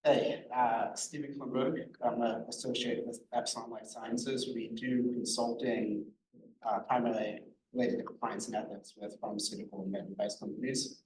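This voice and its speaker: A man, a competition judge, introducing himself in a casual, halting way. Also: mid-range voice, flat delivery. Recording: echoey room with clear noise.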